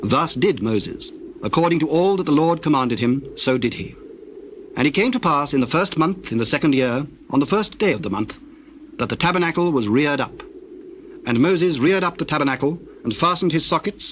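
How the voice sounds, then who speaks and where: A male narrator reads scripture at a steady, measured pace. His voice sits slightly high. Faint ambient birdsong hums underneath. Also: slight background noise.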